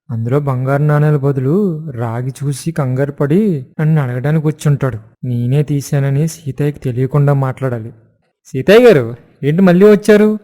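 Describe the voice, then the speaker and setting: A man playing a sly, dishonest villager in an animated moral story, feigning innocence and nervously evading. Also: a little high, natural voice.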